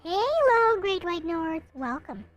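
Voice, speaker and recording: A woman streaming, chatting casually and reading a visual novel aloud in an expressive manner. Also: very high voice, slow, lo-fi sound. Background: music.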